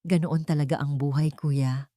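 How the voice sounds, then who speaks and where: A woman's voice: low voice, steady tone, normal speed, dark tone, dry recording, studio quality, natural voice. A woman with a Filipino accent voicing a scheming younger woman in an audio story, pointed and insinuating under feigned sweet concern.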